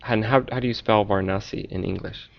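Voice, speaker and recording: A man's voice: on the low side, steady tone, fast, gravelly, highs cut off. A man interviewing a guest, asking curious short questions and reacting with interest. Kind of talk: casual interview.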